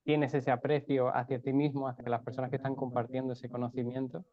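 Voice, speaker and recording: A man's voice: medium pitch, level tone, fairly quick, no room sound, highs cut off. A man moderating an online event, reflective and self-correcting as he comments on a talk. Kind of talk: casual moderating.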